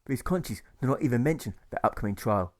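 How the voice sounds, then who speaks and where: Male author-narrator, medium pitch, rhythmic rhyming prose, measured emphasis on lists and rhymes. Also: lively intonation. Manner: rhythmic, list-heavy, drawn-out rhymes. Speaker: author reading own audiobook.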